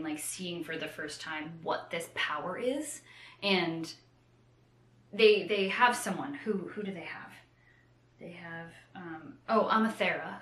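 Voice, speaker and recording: A woman co-hosting a casual book discussion, retelling in an animated way with playful, slangy asides. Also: lowish voice. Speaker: co-host of a book discussion show. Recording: roomy echo.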